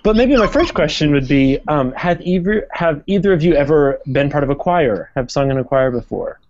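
A hesitant man speaks with a slightly higher pitch than most men, and his voice comes through a dull, lo-fi remote line. He talks from a small practice room, with frequent ums and a modest tone. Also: animated, moderate pace, darkish tone. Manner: hesitant, many ums, self-deprecating. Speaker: guest vocal coach. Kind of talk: casual podcast chat.

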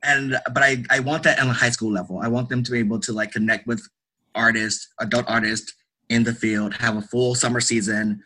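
A man with a bright, forward voice gives conversational interview answers. He is earnest and emphatic, often repeating a phrase to drive it home. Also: mid-range voice, steady tone, a little fast, rough recording. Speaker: guest, theatre director and artist.

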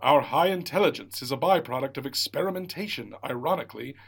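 An earnest rabbit academic from a radio play played by a man, mid-pitched and scholarly. He lectures with a pompous air, as an expert guest on a show. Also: fast, vocal fry, fairly bright tone, breathy edge. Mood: insistent, lecturing. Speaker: interview guest.

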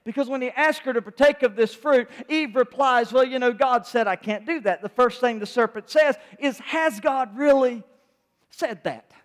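A man's voice: very high voice, normal speed. A man preaching a sermon with an emphatic, repetitive cadence. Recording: long hall reverb.

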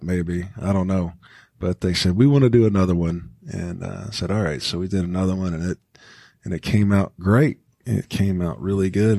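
Male podcast host, low register, dry sound, encouraging and conversational delivery. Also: no echo. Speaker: podcast host, school music teacher. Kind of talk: casual podcast chat.

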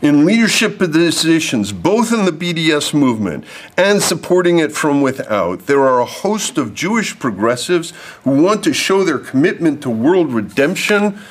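A man's voice: on the high side, sing-song, normal speed. A man delivering a prepared lecture to an audience, reading formal text and adding off-script asides. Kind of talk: prepared speech read to an audience.

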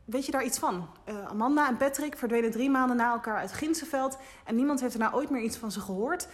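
An actress playing a curious, persistent young woman reporter in a naturalistic audio drama, asking questions in a halting way. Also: a little high, close to an everyday voice. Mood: hesitant, curious.

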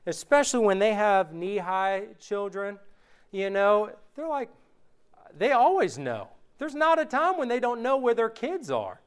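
A man preaching an informal sermon, reading scripture aloud slowly and conversationally, his voice worn from recent illness. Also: high voice, big pitch swings, clear, resonant tone. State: recovering from illness, voice going.

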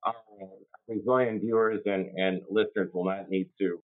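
An older man, a labor lawyer, answering interview questions in a halting way with many restarts and fillers. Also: low-pitched, fairly even intonation. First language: English. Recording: tinny phone-like line.

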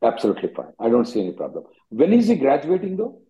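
A man's voice: mid-range voice, wildly swooping pitch, fast talker, mellow. A man hosting an immigration call-in, answering callers in a curt, businesslike way and hurrying them along. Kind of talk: brisk Q&A on a conference call. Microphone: conference call line. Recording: dull lo-fi call audio.

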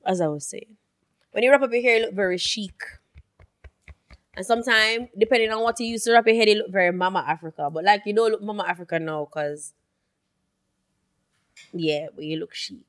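A young Jamaican woman co-hosting a podcast, chatting casually and telling animated stories with free swearing. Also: mid-range voice, fairly quick, pauses often. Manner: animated storytelling, swears freely. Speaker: podcast co-host. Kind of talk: casual chat.

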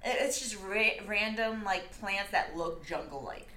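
A woman's voice: mid-range voice, unhurried, bright, forceful tone. A young woman guesting on a podcast, chatting casually and laughing often, often trailing off mid-sentence. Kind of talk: casual movie review chat. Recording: echoey room with faint noise.

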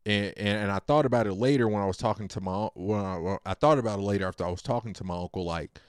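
A man's voice: lowish voice, fast talker, clean tone, no room sound, studio quality. A man in his late twenties talking casually and at length about his family, in a rambling, slang-heavy, laid-back way. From Virginia. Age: 20s. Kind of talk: casual personal monologue.

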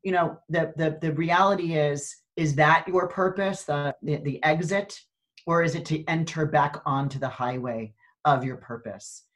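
A woman's voice: sits low, a little slow. A woman in her sixties, a coach and podcast guest, talking earnestly and reflectively about purpose, often restarting her sentences. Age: 60s. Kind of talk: casual spiritual conversation.

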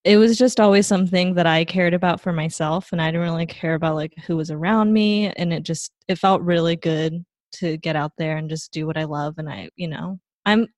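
A young woman from Taiwan, a guest in a casual interview, talking in a relaxed, rambling way with plenty of fillers. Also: lowish voice, fairly quick, few pauses, no room sound. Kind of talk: casual conversation.

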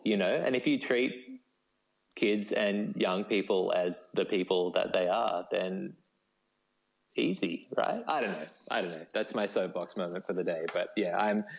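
A man talking as a podcast guest in a casual, informal, self-deprecating way. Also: on the low side. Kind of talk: casual conversational chat.

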